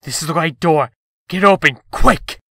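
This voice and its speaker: A man's voice: on the high side, normal speed, creaky, bright, forceful tone, full character voice. A man voicing a cocky, impatient, wisecracking rogue in a comic dub, with a brash, slangy way of talking. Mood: excited.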